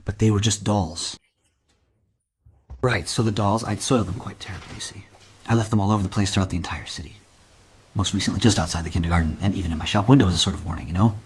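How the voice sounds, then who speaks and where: A man acts out an unsettling shopkeeper suspect in a crime radio play. His lowish voice hurries along, pleading and excusing itself, over faint noise and clicks. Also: fairly even intonation, fast talker, close to an everyday voice. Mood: self-justifying. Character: creepy, self-justifying male bookseller confessing as a suspect. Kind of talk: crime audio drama acting.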